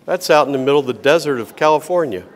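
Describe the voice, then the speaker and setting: A man's voice: on the high side, fast. An older man interviewing a guest in a casual, folksy and complimentary way.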